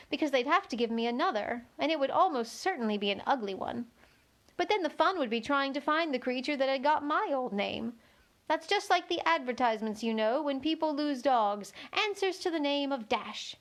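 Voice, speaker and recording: A polite, thoughtful young storybook girl, played by a woman. Her voice is high, and she muses earnestly as if working things out. There is a slight anxious edge to her curiosity. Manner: musing, earnest. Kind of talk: children's storybook read-aloud.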